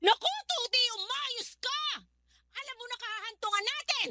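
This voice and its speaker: A woman's voice: extremely high pitch, moderate pace, noisy background. An actress playing a jealous, suspicious young girlfriend in a Filipino radio drama, accusing and emotional.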